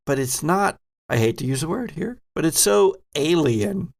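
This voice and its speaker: A man's voice: fairly high pitch, expressive, a little slow, vocal fry. A man narrating a tabletop role-playing game as game master, addressing the players with dramatic storytelling.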